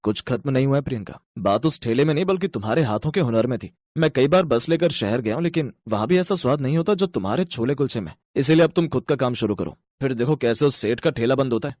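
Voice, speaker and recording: A devoted young driver from a moral tale, voiced by a man. He has a medium-pitched, everyday voice, recorded dry, and speaks with polite, encouraging sincerity. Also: lively intonation, fast talker, hardly any pauses, a little mellow, no echo, natural voice. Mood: sincere, reassuring. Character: kind, devoted young male bus driver.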